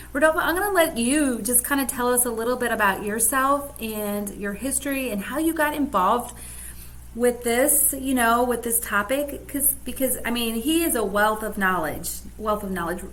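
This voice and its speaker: A woman's voice: fairly high pitch, lively intonation, normal speed, fairly bright tone. A woman co-hosting a weekly live online show, introducing a guest in a rambling, casual way. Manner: rambling, many fillers. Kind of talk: casual livestream chat.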